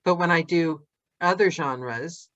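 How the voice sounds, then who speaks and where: A woman's voice: low-pitched, takes it slowly, fairly bright tone. A woman hosting a podcast, speaking in a reflective, encouraging way over a call. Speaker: podcast host. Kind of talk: casual podcast conversation. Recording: slightly dull lo-fi call audio.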